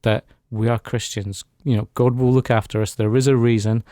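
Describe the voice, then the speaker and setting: A man's voice: mid-range voice, little pitch movement, a little fast, warm tone, no room sound, clean studio sound. A man speaking as a guest in a radio interview, giving an earnest, reflective personal testimony. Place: radio studio.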